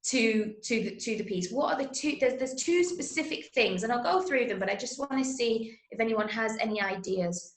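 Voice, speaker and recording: Workshop teacher: English woman, fairly high voice, encouraging, interactive online lesson delivery, roomy echoing sound. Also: lively intonation, moderate pace, hardly any pauses, slight background noise. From Manchester, England. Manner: encouraging, praising students' answers. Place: indoors, on a video call.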